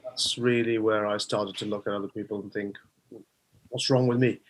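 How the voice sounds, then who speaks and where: A man's voice: on the low side, fairly even intonation, moderate pace, rough recording. A middle-aged man with a Welsh accent, a guest mind coach speaking thoughtfully with frequent hesitations. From Wales. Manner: hesitant, thoughtful, with many fillers. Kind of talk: conversational interview.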